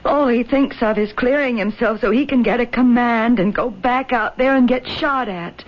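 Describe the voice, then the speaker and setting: An actress playing a spirited, doting and pettish seventeen-year-old fiancée in a radio drama. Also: female voice, fairly high pitch, normal speed, natural voice. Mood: upset, fretful. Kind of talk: 1970s radio drama acting. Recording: dull old radio broadcast recording.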